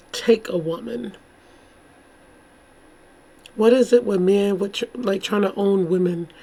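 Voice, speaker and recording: A woman with a slightly hoarse voice, chatting casually and informally to camera as a host, rambling and exclaiming as she comments. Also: medium pitch, dark tone. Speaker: YouTube true crime host.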